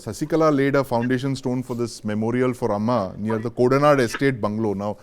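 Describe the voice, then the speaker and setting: A man's voice: mid-range voice, fast. A man with an Indian accent hosting a news discussion. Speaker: host.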